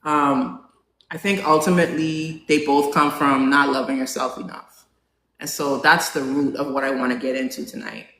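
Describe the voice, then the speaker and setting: A non-binary host in their forties chatting casually with a live audience, asking them questions and filling pauses with "um". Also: mid-range voice, fairly even intonation, a bit bright. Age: 40s. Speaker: live talk show host. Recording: roomy echo, faint background hum.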